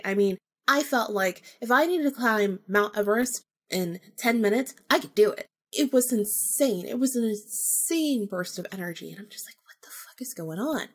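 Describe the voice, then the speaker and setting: A woman podcast host telling a personal story casually, in an animated, incredulous way with blunt swearing. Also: a little high, slightly rough.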